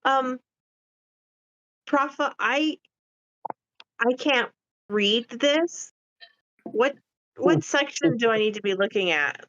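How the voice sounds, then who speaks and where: Unhurried higher-voiced woman at play. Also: animated, fairly bright tone, lo-fi sound. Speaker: tabletop game player. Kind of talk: casual in-game chat.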